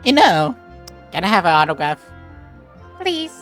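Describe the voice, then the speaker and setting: A woman's voice: lowish voice, very animated, unhurried. A woman giving casual, playful gameplay commentary with joking asides. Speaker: streamer playing a game. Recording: game music behind the voice.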